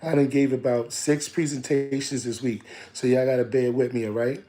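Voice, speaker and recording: A man coaching on digital marketing in a casual, preachy live stream. He, is slightly hoarse, repeats his lines and calls listeners family. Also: medium pitch, fairly even intonation, normal speed, warm tone.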